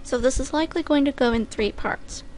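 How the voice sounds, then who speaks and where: A woman with a very high voice narrates a story in dramatic fashion. Her delivery is deliberate, and there is clear noise in the recording. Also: darkish tone. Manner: deliberate, careful enunciation. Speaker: fan fiction reader.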